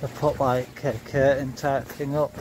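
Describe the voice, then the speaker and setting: A man's voice: mid-range voice, level tone, measured pace. A man with a Yorkshire accent chatting casually and informally to viewers as he films a walking vlog outdoors. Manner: informal, mildly sweary, chatty asides to viewers. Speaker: vlogger. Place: outdoors, walking along paths and fences. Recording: noisy outdoor recording with wind.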